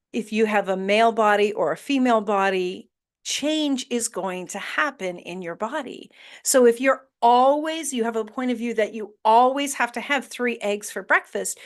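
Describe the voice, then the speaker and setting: A woman hosting a motivational podcast, speaking earnestly and emphatically to her listeners, asking rhetorical questions and sometimes swearing mildly. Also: fairly high pitch. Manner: blunt, with mild swearing and laughs. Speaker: podcast host.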